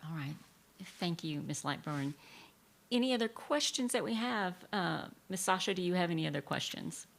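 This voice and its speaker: Woman press moderator, low gravelly voice, brisk procedural calls. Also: lively intonation.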